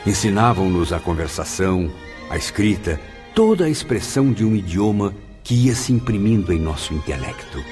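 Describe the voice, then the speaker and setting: An earnest spirit looking back on his afterlife in a radio drama. His low Brazilian voice moves expressively up and down, formal and full of wonder, over clear background music. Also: very animated, normal speed, a little mellow. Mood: marvelling. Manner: solemn, formal, reflective. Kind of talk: radio drama narration in character.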